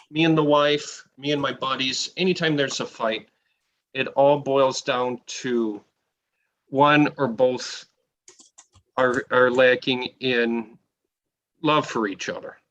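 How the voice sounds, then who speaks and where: An American man answering a question after a lecture, speaking off the cuff. His voice sits at an ordinary middle pitch for a man. He keeps restarting his sentences and checking in with the listeners as he goes. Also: a bit bright, slight background noise. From United States. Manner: conversational, restarts sentences, often checks in with "okay?".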